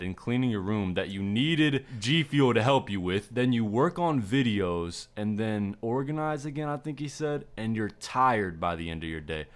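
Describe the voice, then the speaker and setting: A man doing casual reaction commentary, blunt and opinionated, with plenty of slang. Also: fairly low pitch. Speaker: video commentator.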